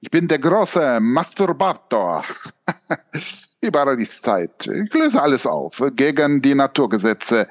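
A man's voice: medium pitch, very animated, lo-fi sound. A man playing a vain, eccentric surrealist painter in an audio drama, speaking in a pompous, musing way. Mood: dreamy, grandiose. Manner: pompous, hesitant drawn-out musing.

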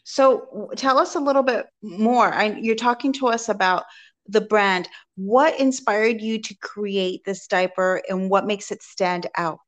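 A woman's voice: mid-range voice, a little slow. A woman hosting an interview, asking her guest questions in an upbeat, welcoming way. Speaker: podcast host.

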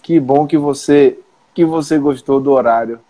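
A man's voice: mid-range voice, normal speed, mellow. A man hosting an interview in a friendly, informal way, often checking in with the audience. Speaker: interview host, physician.

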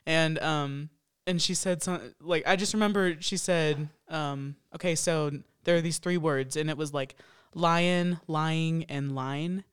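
A woman's voice: low voice, fairly even intonation, a little slow, smooth, no room sound. A woman co-hosting a casual chat, making dry remarks and retelling a clip with laughter.

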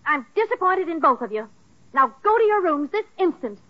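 A woman's voice: very high voice, very animated, normal speed. An actress playing an earnest, emotional wife and mother in an old radio sitcom, speaking firmly and sincerely. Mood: stern. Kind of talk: 1940s radio sitcom acting. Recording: muffled old radio recording.

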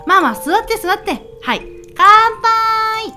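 A woman's voice: very high-pitched, lively intonation, measured pace, pitched above the performer's own voice. A woman playing a cheerful, doting young partner hosting a festive dinner in a radio drama, exclaiming and coaxing. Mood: festive, eager. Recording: faint music behind.

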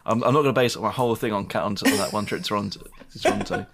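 A man's voice: lowish voice, racing, vocal fry, heavy background noise. A British man hosting a podcast, chatting casually and rambling with self-deprecating asides and lots of fillers. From Britain. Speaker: podcast host. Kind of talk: casual chat.

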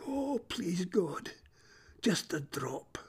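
A man's voice: high voice, very animated, very slow, slightly rough, voice pushed up, full character voice. A man voicing a parched, desperate but clever crow in a Scottish storybook fable, with a pleading, dramatic delivery. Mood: hushed pleading.

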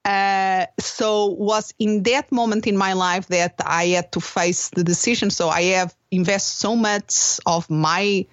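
A woman from Portugal with a Portuguese accent, a business coach talking as an interview guest in lively, slightly nonnative English with many fillers and restarts. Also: mid-range voice, a little slow, hardly any pauses, no echo, dull audio. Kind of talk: interview conversation.

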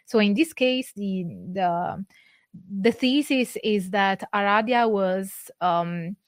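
A woman talks through scholarly sources in a careful, measured lecture. Her voice sits in a middling range, neither high nor low. The sound is dry, with almost no room around it. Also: no echo. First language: Italian. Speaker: presenter. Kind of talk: academic lecture.

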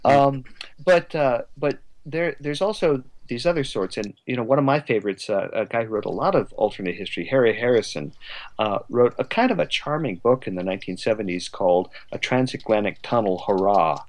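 A man talking as an interview guest, a writer thinking aloud in a halting, discursive, conversational way. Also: medium pitch. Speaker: guest writer of a radio drama.

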